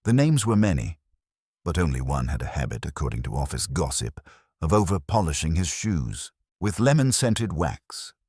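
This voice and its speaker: A man's voice: rumbling low, very animated, moderate pace, darkish tone, breathy edge, no echo, clean studio sound. A man reading scripted narration for a mystery story.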